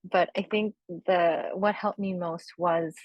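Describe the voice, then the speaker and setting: A young woman from Korea, a panelist sharing personal reflections with many fillers and soft laughs. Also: fairly low pitch, steady tone, takes it slowly, highs cut off, slight background noise.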